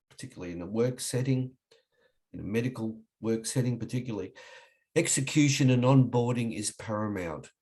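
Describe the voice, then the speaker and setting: A middle-aged man with an Australian accent, husky from a cold, giving an online webinar lecture in a halting way with many restarts. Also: medium pitch, darkish tone. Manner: halting, frequent restarts and fillers. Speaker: webinar presenter.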